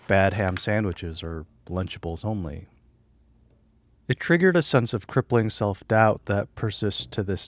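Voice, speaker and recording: A man's voice: deep voice, a little hiss or hum. A young man from the United States, whose first language was Korean, narrating his personal film in a reflective, candid, wry voice-over. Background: guitar music.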